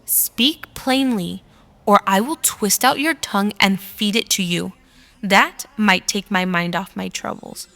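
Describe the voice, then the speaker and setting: An actress plays a fierce, guarded fighter of a woman in a radio drama. She talks very slowly and bluntly, with a long reverb trailing her words. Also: medium pitch, vocal fry, sharp tone. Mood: menacing. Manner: blunt, menacing, deliberate.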